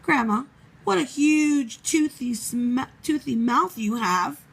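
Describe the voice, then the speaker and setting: A woman acts out an inquisitive, polite young girl from a children's fairy tale. The voice is high and a little husky, expressive and questioning. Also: slow, heavily acted. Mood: curious, suspicious.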